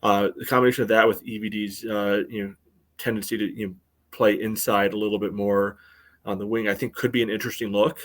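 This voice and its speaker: A man co-hosting a sports podcast, giving casual analysis in a halting, rambling way padded with filler phrases. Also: lowish voice, level tone, fairly bright tone. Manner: halting, rambling, very frequent "you know". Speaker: podcast co-host.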